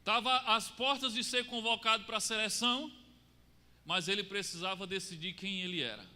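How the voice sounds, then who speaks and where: A Brazilian man preaching a sermon, swinging between raised lines and low, quiet drops for emphasis. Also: normal speed. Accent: Brazilian Portuguese. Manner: rises and falls, drops low to stress points. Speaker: pastor. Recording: long reverb of a church hall.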